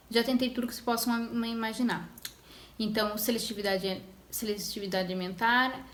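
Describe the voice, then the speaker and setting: A young woman telling her story as a mother in a casual vlog, talking in a conversational way with many pauses and restarts. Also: on the high side. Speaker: mother sharing her experience. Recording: echoey room with faint noise.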